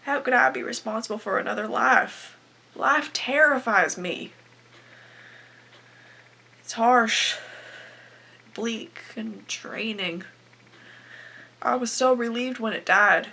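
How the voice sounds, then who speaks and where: A woman's voice: on the high side, slow, pauses often, exaggerated voice. A teenage girl playing a desperate, bitter young farm wife in a confessional dramatic monologue. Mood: angry, anguished. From Canada. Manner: confessional, anguished, swinging from hushed to bursting. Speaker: aspiring actress performing a film monologue.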